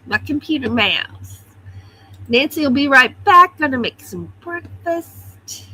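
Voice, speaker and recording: A woman's voice: high-pitched, very animated, slow pace, bright, forceful tone, low-quality recording. A woman hosting a casual morning livestream from her computer at home, greeting viewers one by one and sounding a little stuffy. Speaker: livestream host. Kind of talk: casual morning chat reading viewer comments.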